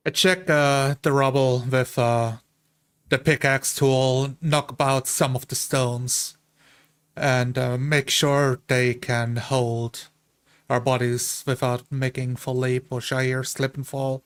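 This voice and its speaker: A man's voice: medium pitch, level tone, slow pace, clear, resonant tone, dry recording. A man playing a tabletop roleplay game, narrating his character's actions in piecemeal phrases.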